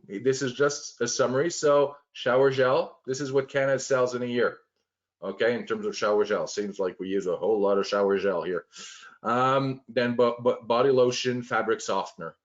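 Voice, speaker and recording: A man walking through planning software on a shared screen, explaining step by step with frequent fillers and restarts. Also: medium pitch, fairly even intonation, unhurried, muffled recording. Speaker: software trainer.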